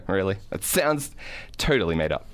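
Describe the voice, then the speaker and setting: A man's voice: deep voice, sing-song, a little slow, fairly bright tone, no room sound, full character voice. A man playing a doubtful, anxious friend turned novice private investigator in a scripted audio comedy sketch, questioning and sceptical. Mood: amused.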